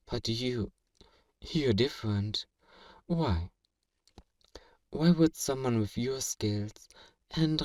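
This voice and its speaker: A man's voice: lowish voice, a little mellow, no echo, studio quality, far from the mic. A man playing a powerful, smug fantasy villain in a flirtatious ASMR roleplay, speaking in a theatrical, formal and teasing way. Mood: intrigued, silky. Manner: theatrical, deliberate, formal, teasing, with breathy whispers.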